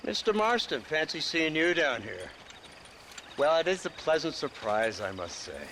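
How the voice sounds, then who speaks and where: Mock-genteel game villain, animated intonation, smug, clear ambient noise. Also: male voice, a little high, normal speed, heavily acted. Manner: arch, mock-polite. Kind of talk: dramatic video game acting. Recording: noisy outdoor game ambience.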